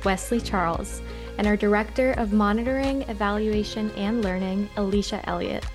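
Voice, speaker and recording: A woman's voice: mid-range voice, darkish tone. A woman hosts a podcast, delivering a polished, upbeat script in a mid-range voice. Faint music plays underneath her. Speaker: podcast host.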